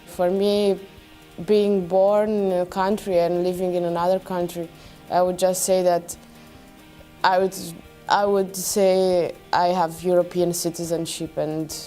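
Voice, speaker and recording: Woman, lowish pitch, mellow tone, flat measured delivery. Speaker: interviewee. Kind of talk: casual interview answers.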